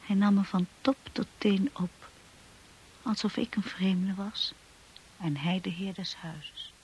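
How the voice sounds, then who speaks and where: A young wife narrating a radio drama. Her voice is hushed and extremely breathy, intimate and reflective, as if confiding memories. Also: mid-range voice, slow, low-quality recording. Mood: reflective, uneasy.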